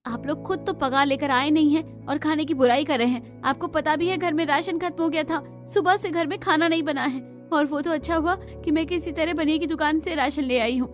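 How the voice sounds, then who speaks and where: A woman's voice: high voice, steady tone, brisk, hardly any pauses, soft background music, lightly acted. A woman voicing a poor, earnest young daughter-in-law in a cartoon story, polite, pleading and determined. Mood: indignant.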